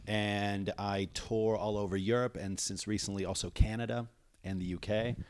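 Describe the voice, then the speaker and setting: A man from the Netherlands with an American accent, talking casually as a guest on a podcast. His voice sits fairly low, and it is recorded close and dry. He often laughs as he tells his stories. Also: little pitch movement, moderate pace, smooth, no room sound. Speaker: comedian guest.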